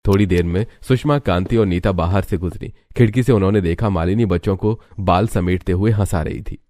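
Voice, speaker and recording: A man's voice: deep voice, brisk, warm tone, no echo, studio quality. A man reading scripted narration as the narrator of a cartoon story.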